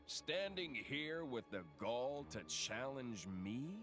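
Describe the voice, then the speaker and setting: An actor playing a menacing, sneering villain in a video game, taunting and gloating theatrically. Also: male voice, sing-song, takes it slowly, soft background music. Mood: mocking menace. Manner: taunting, mocking, swinging from low drawl to shouts. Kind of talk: dramatic video game voice acting.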